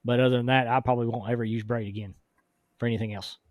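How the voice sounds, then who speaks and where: A man hosting a casual fishing podcast, his voice hoarse and deeper from an illness, reading out viewer comments in a relaxed way. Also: very fast, no room sound, clean studio sound. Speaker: podcast host.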